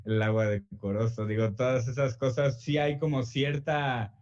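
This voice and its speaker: A young Mexican man, an author answering interview questions in a relaxed, hesitant way full of fillers and restarts. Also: mid-range voice, moderate pace, lo-fi sound.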